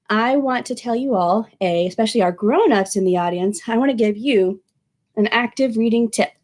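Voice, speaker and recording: A woman with a high, very lively voice hosts a children's storytime. She speaks like a librarian sharing a picture book, expressive and animated, addressing her young audience directly. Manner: animated, expressive, with exclamations and questions to young listeners. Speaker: children's librarian reading aloud.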